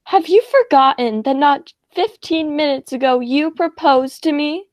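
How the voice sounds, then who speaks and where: A woman's voice: high-pitched, a little slow, darkish tone, dry recording, clean studio sound. A teenage girl playing an indignant, insistent young fiancée in a school radio play. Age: teens.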